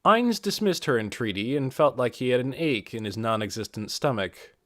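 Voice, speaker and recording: A man narrates a fantasy audiobook from a script. His pitch is mid-range and moves in a very lively way, and the sound is dry. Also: normal speed, no echo.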